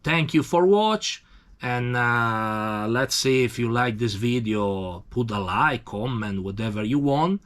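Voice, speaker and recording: Slow-talking Italian man demonstrating gear. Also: mid-range voice, animated, fairly bright tone. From Italy. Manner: halting broken English, restarts. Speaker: tattoo machine demonstrator. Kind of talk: casual hands-on demonstration.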